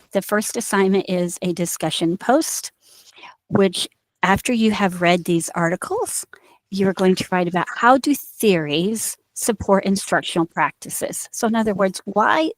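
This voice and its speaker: A woman's voice: fairly low pitch, dry recording, poor audio. A middle-aged woman with an Indiana accent, an instructor explaining a course module in a recorded online lecture, with fillers and restarts. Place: small office with bookshelves. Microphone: headset.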